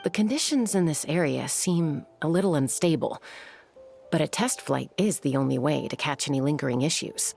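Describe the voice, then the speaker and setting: An actress playing a composed, confident adult female flier in a video game, calm and measured. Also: low voice, vocal fry, faint music behind. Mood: calm, persuasive. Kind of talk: video game dialogue acting.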